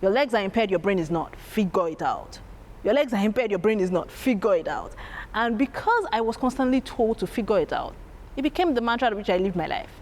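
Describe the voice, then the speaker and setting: Lively woman telling family stories. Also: medium pitch, fairly quick. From Nigeria. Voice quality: strong, loud voice. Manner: animated, laughing, acts out family voices. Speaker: interview guest. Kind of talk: candid storytelling.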